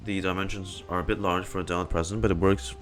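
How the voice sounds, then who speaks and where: A man's voice: low voice, flat delivery, fast, a bit bright. A man giving casual reaction commentary while he plays a game. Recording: game music playing behind the voice.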